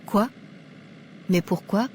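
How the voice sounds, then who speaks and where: A woman playing a judgmental young churchgoer in an animated moral drama, chatty and smug. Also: slow pace, slight background noise, natural voice. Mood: mildly annoyed. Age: young adult.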